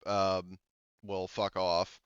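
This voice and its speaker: Game master, male, low smooth voice, casual and profane, muffled dry recording. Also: monotone, drawn-out words, no room sound. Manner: loose, casual, swears freely. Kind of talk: game master narration and rules talk.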